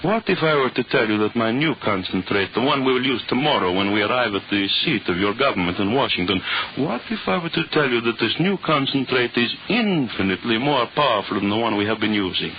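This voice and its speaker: Man playing a grandiose scientist, wildly animated. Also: mid-range voice, fast, few pauses, natural voice. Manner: theatrical, grandiose. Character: exultant scheming foreign scientist drunk on power. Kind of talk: 1940s radio acting. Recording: muffled, noisy old radio broadcast.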